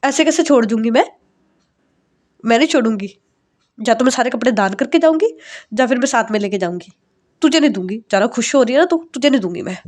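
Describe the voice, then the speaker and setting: A woman voicing a stubborn, tearful young bride-to-be who talks in a high, whiny, insistent voice. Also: animated, fast, pauses often, a little mellow, natural voice.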